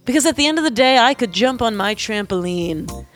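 Young woman, comedy podcast co-host, goofy and earnest, giggly, fairly high voice. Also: animated, brisk, soft background music.

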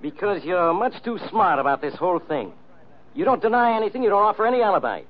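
An actor playing a brusque, bossy, impatient police inspector in a 1940s radio drama. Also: male voice, sits high, very animated, fast. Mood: exasperated. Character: gruff, bossy, exasperated male police inspector. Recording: dull old radio recording.

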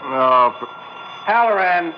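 A man's voice: a little high, expressive, takes it slowly. An actor playing a harried, sardonic male police lieutenant in a 1960s radio drama, curt and impatient. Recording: muffled 1960s radio recording, faint noise.

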